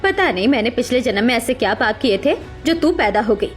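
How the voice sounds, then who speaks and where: Woman doing a mean cartoon mom, highish voice, scornful and scolding, music behind. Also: expressive, brisk, natural voice. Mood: angry, contemptuous. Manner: scolding, contemptuous. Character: harsh, scornful middle-aged mother berating her daughter. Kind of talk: dramatic Hindi cartoon story acting.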